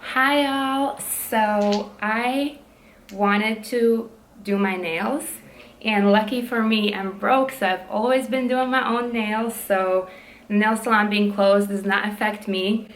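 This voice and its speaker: A young woman with a naturally loud voice, chatting casually at home while doing her nails, frank and fond of swearing, full of likes and ums, holding her voice down. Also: mid-range voice, fairly even intonation, slow pace, reverberant, a little hiss or hum, poor audio. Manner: frank, swears freely, many "like" and "um" fillers; speaking softly to keep quiet. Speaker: vlogger, PhD student. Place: room at home.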